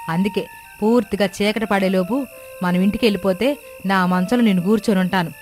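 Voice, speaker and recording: A woman's voice: medium pitch, a little fast, darkish tone, close to an everyday voice. A woman voicing a worried, complaining poor village mother-in-law in a children's story cartoon. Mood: fretful complaining. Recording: music bed behind the voice.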